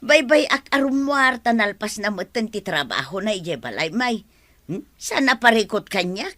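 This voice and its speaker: A woman's voice: medium pitch, very animated, normal speed, a bit bright, dry recording. An actress with a Filipino Ilocano accent playing an easygoing, gossipy village woman in a radio drama.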